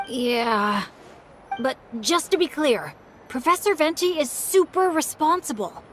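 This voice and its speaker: A woman's voice: high voice, expressive, unhurried, a bit bright, a little hiss or hum. A woman playing an earnest, upbeat teenage boy adventurer in an anime game, eager and sincere. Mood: defensive.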